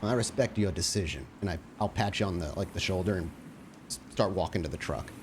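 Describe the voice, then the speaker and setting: A man's voice: deep voice, fast talker, darkish tone, a little hiss or hum. A man playing a tabletop game, casually improvising narration of what his character does.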